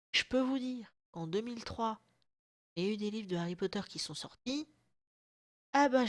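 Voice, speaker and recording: A woman's voice: mid-range voice, dry recording, clean studio sound. A woman in her thirties talking casually to camera at home, chatty and self-mocking, with a throat still sore from a cold. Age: 30s. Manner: chatty, self-mocking, loose slangy talk. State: lingering sore throat, occasional coughs. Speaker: vlogger. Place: at home, indoors.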